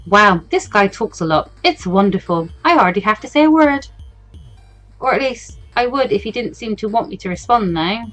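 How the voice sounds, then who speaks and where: A woman's voice: mid-range voice, lively intonation, normal speed, fairly bright tone, faint music behind, lightly acted, poor audio. A woman voicing an anxious, introspective fourteen-year-old girl in a visual novel, with a hesitant, musing manner. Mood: flustered, wry. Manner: hesitant, musing inner monologue. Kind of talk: visual novel read-aloud.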